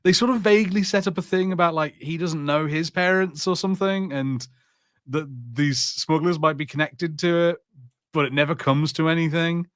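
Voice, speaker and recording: A man's voice: fairly high pitch, normal speed, a bit bright, dry recording, studio quality. A man co-hosting a podcast, giving his take in casual chat with a blunt, dismissive, informal manner. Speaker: podcast co-host.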